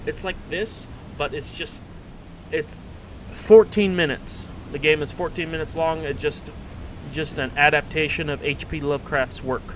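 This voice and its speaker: A man giving casual live commentary as a game streamer, with joking, off-the-cuff asides. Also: measured pace. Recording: loud rumbling background noise.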